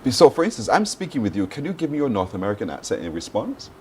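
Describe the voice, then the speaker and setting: A man's voice: medium pitch, very animated, fast talker, darkish tone, slight background noise. A man with a Barbadian accent hosting a live TV morning show, chatty and encouraging, with frequent affirmations. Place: TV studio.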